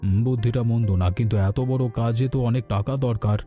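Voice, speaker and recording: A man voicing a calm, businesslike village landlord and moneylender in a cartoon story, measured and authoritative. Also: medium pitch, highs cut off, natural voice. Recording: background music throughout.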